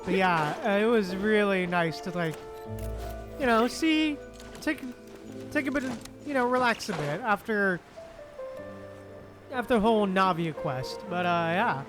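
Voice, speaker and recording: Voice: mid-range voice, lively intonation, measured pace, pauses often. A streamer giving casual, laid-back commentary while playing a video game. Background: game music.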